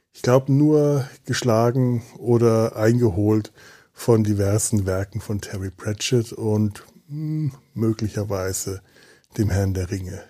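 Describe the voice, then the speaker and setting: Middle-aged man, husky voice, rambling casually. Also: mid-range voice, dark tone. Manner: self-deprecating, meandering, thinking aloud. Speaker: podcast host. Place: indoors on a sofa at home.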